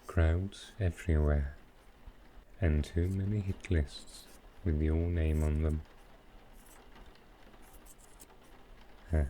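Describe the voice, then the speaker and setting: A man playing a smooth, flirtatious supervillain in an intimate ASMR roleplay, teasing and unhurried. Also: extremely low pitch, a little hiss or hum. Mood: smug, coaxing. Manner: teasing, unhurried, softly suggestive. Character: smooth, flirtatious male supervillain courting his tailor.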